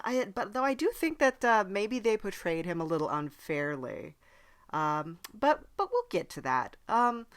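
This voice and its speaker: A woman playing a non-human podcast host from hell, chatting casually in a halting, dryly polite way. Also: mid-range voice, a bit bright, natural voice. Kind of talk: casual in-character interview chat.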